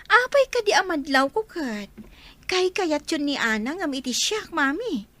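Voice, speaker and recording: A woman's voice: high voice, sing-song, unhurried, pushed and bright, no room sound, exaggerated voice. A woman playing a whiny, jealous young daughter in a radio drama, plaintive and insistent. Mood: resentful, whining. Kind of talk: Filipino radio drama acting.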